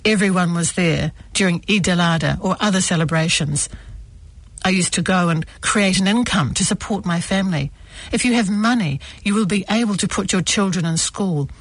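A woman reading a first-person testimony aloud, measured and earnest, into a close microphone. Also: fairly low pitch, no echo. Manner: measured, earnest, with retakes of lines.

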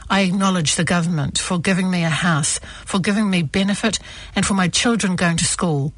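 Woman, husky, measured earnest reading, first-person testimony, close mic, dry room. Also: on the low side, no room sound. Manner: measured, earnest, with retakes of lines.

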